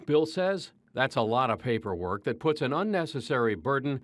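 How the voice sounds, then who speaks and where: An American man narrates a television news report. His voice sits at a middle pitch, and he delivers scripted copy as a reporter. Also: sing-song, normal speed, no room sound.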